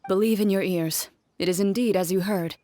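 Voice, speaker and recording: Brief: actress playing reserved game heroine, mid-pitched, measured and wry, soft music behind. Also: female voice, steady tone, dry recording, lightly acted. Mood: calm, wry. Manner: measured, dry, understated. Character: composed, dry-witted, reserved woman in fantasy adventure. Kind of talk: English video game voice acting.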